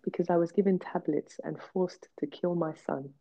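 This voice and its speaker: A low-voiced woman speaks with blunt, emphatic force, repeating words to drive her point home. Her tone stays candid and personal, and the recording sounds dull and lo-fi. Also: fairly even intonation, normal speed, dark tone. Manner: emphatic, blunt, repetitive for stress. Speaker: podcast host. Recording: dull lo-fi podcast audio.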